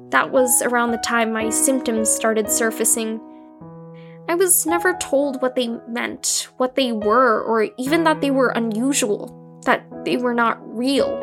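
A young woman playing a troubled, wistful psychiatric patient in an audio drama, talking in an earnest, wry, confessional way. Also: sits high. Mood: defensive, wounded. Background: music.

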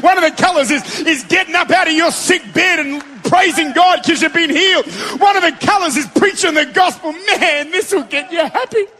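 A man preaching a Pentecostal sermon to a congregation in a large church, fervent and building to shouted declarations. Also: very high-pitched, normal speed, hardly any pauses. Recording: long reverb of a big hall.

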